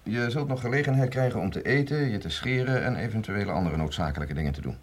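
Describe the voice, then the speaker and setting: An actor playing an earnest, learned chief medical officer in a radio drama, husky and didactic, speaking deliberately. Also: male voice, on the low side, expressive. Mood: earnest, confiding. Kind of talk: Dutch radio drama acting.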